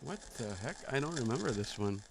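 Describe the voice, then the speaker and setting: A man's voice: mid-range voice, sing-song, fairly quick, vocal fry, noisy background. A young man streaming casual, slangy reactions to videos, muttering asides as he watches. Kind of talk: casual livestream reaction chat.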